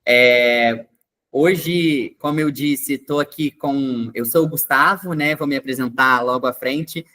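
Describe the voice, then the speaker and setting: A man's voice: medium pitch, takes it slowly, bright, forceful tone. A young man hosting an online webinar, chatty, with frequent fillers and tag questions. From Minas Gerais, Brazil. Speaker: webinar host, experience coordinator. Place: online video call.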